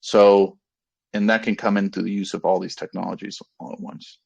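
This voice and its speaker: Low, gravelly man on a dull call line. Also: level tone, darkish tone. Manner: halting, rambling, repeats and rephrases himself. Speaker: company executive. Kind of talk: informal business talk to investors on a conference call. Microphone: conference call line. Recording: dull, lo-fi conference call audio.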